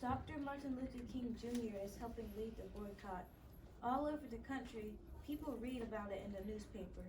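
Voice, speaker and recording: A woman's voice: a little high, brisk. A woman reading scripted narration aloud for a readers theater play, recorded at a distance. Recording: echoey noisy room, distant mic.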